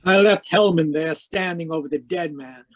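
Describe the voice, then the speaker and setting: A man playing a cynical private detective in 1940s radio noir style, wisecracking and deadpan as he talks and narrates. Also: on the high side, normal speed, faint music behind, natural voice. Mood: wry narration. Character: cynical hard-boiled male private detective.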